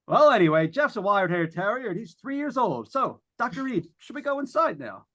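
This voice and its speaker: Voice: medium pitch, big pitch swings, brisk, a bit bright. An actor playing a chatty, wisecracking radio announcer on a ghost hunt, in 1940s radio drama style. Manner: breezy, folksy, jokey.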